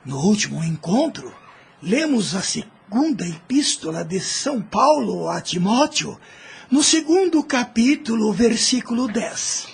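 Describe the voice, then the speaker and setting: An actor with a Brazilian accent playing a kindly, devout old man in an audio drama, gravelly and fatherly. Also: high-pitched, big pitch swings, a little slow, heavily acted. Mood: thoughtful. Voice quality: gravelly, husky.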